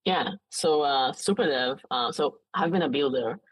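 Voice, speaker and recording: A man in his late twenties with an Israeli accent, talking casually as an interview guest in a halting way with frequent false starts. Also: medium pitch, a bit bright, slightly rough, dry recording, rough recording.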